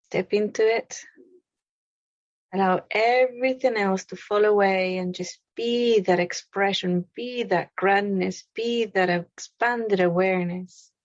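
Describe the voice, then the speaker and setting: Female meditation guide. Unhurried, gentle phrasing with long pauses; dry, slightly dull lo-fi recording. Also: mid-range voice, dry recording. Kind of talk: guided meditation, spoken slowly.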